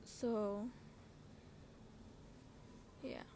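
A woman's voice: mid-range voice, wildly swooping pitch, drawn-out words, creaky, dark tone. A young adult woman chatting casually as a podcast host in a scattered, slangy way, often interrupting herself. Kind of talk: casual rambling personal podcast chat. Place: outdoors with wind and traffic. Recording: windy outdoor noise, passing cars. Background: wind, cars, ambient noise.

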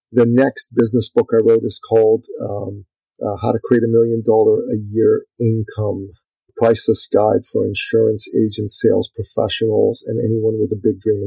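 A man's voice: on the low side, vocal fry, warm tone, husky, dry recording, poor audio. A middle-aged man from Iran, talking casually in an interview, full of fillers and restarts. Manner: talkative, many fillers and restarts. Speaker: podcast guest and author.

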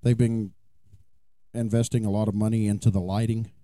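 A slightly hoarse man co-hosts a podcast, telling work stories and dropping groan-worthy puns. His tone is mellow and even. The mic sound is dry and close. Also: fairly low pitch, normal speed, no echo. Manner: jokey storytelling, dad-joke puns. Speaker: podcast co-host. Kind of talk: casual chat.

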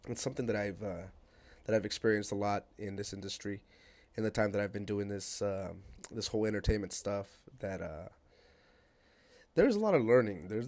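A man hosting a casual podcast in a somewhat low voice. He meanders through a monologue full of ums and restarts, then trades loud, joking banter. Spanish slips easily into his speech. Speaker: podcast host.

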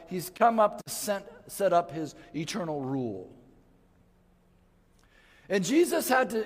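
An older man who grew up in West Africa, speaking as a missionary in an earnest, emphatic preaching manner with frequent restarts. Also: high-pitched. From West Africa (Mali). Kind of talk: prayer then church missionary talk. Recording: long hall reverb.

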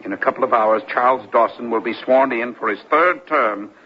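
An actor playing a smug, quietly menacing official in a suspense radio drama, formal and controlled, on a muffled hissy broadcast. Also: male voice, medium pitch, brisk, natural voice. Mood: dismissive. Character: smooth, scheming male official, quietly menacing conspirator.

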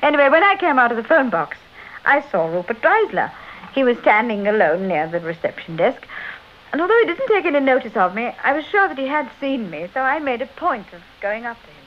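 An actress playing a poised, chatty, gently teasing British detective's wife, well-mannered and expressive, in a radio drama. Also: female voice, high-pitched, fairly quick. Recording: dull, hissy old radio recording.

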